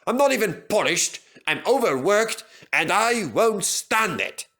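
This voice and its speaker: A man's voice: sits high, big pitch swings, a little slow, fairly bright tone, voice pushed up, full character voice. A man voicing a sulky, jealous steam engine who grumbles and complains, in a children's storybook. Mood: cross, rude. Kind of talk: children's storybook character acting.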